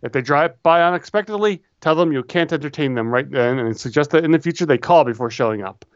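A man's voice: a little high, expressive, fast, dry recording, highs cut off. A man co-hosting a casual chat, reading advice letters aloud with easygoing, dry humor. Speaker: podcast co-host.

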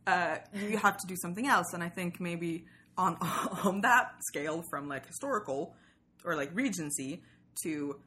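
A woman's voice: on the low side, moderate pace, sharp tone. A woman from Sweden chats casually as a podcast guest, rambling through asides and acting out imagined lines. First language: Swedish. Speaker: podcaster and writer, interview guest. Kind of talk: casual interview chat.

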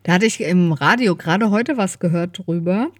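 A woman's voice: fairly low pitch, moderate pace, no room sound, studio quality. A middle-aged woman co-hosting a podcast in casual, colloquial conversation, often adding little tag questions. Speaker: podcast co-host. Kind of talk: casual chat.

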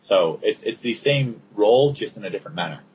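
A man's voice: lowish voice, lively intonation, fairly quick. A man who is a guest judge on a podcast, explaining game rules step by step in casual chat. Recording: dull lo-fi sound with faint noise.

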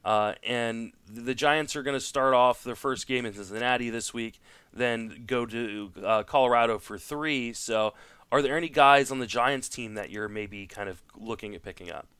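A man's voice: mid-range voice, normal speed, fairly bright tone, smooth, dry recording. A man hosting a podcast, talking casually and thinking aloud about sports, a native French speaker. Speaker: podcast host. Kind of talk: casual sports talk, fantasy baseball discussion.

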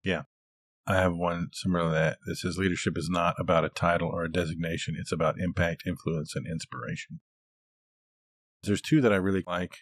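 A man's voice: low-pitched, steady tone, fairly quick, no room sound. A man co-hosting a podcast, talking in a casual, reflective and thoughtful manner. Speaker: podcast co-host.

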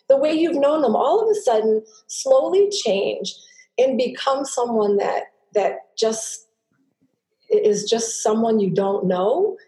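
A woman's voice: a little high, mellow. Earnest middle-aged woman, echoey room. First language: Greek. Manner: earnest, halting, with many restarts. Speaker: podcast guest. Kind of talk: personal storytelling in an interview. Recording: roomy echo.

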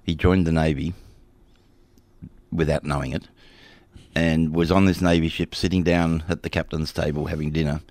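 Deep voiced older guy on community radio, cracks dry jokes, tells stories. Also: level tone, moderate pace, a little mellow, no echo. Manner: dry, joking, tells anecdotes. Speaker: radio show co-host. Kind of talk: casual radio chat.

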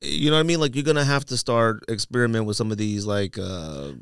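A man's voice: medium pitch, quick pace, clean tone, dry recording, studio quality. An American man co-hosting a casual podcast, talking in slangy, opinionated banter and repeating himself for emphasis. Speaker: podcast co-host. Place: podcast studio.

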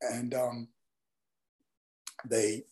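A hoarse man from Northeast Alabama, a guest talking earnestly and at length in an interview. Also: mid-range voice, little pitch movement, extremely slow, dark tone. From Northeast Alabama, US South. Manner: earnest, rambling explanations. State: hoarse, partly lost voice. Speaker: guest, nightlife event promoter. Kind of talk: casual interview talk.

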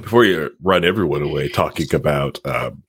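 A man's voice: a bass voice, fairly quick, slightly rough, no room sound. A man hosting a tech talk show in casual banter, rambling and jokey, often laughing.

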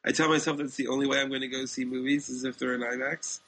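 A man co-hosting a podcast, chatting informally and with firm opinions about films and TV in a gravelly, husky voice. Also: medium pitch, flat delivery, fast, fairly bright tone. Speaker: podcast co-host. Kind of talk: casual chat about films and TV.

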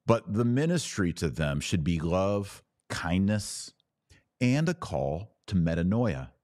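A man's voice: low-pitched, sing-song, slow, a little mellow, no room sound, studio quality. An American man speaking as a podcast host in conversational religious commentary, emphatic, often in short repeated phrases.

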